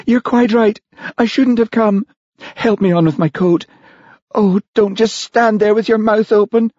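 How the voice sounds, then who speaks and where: Audiobook role: haughty temptress, acted by a man, raised pitch, breathy, slightly husky, theatrical delivery. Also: sing-song, normal speed, warm tone, no echo, highs cut off. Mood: scornful, demanding. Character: haughty seductive woman, scornful of local food.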